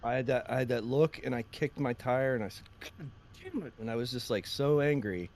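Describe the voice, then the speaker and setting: A man co-hosting a podcast with a deep voice, telling rambling stories and laughing often in casual chat. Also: lively intonation, fairly quick, a little mellow. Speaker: podcast co-host.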